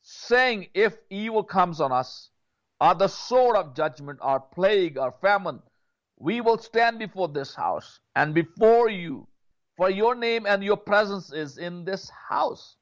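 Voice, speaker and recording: A man's voice: high-pitched, lively intonation, measured pace, fairly bright tone. A man from Pakistan, a pastor, making an earnest prayer appeal, often repeating words and saying "you know". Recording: dull lo-fi audio.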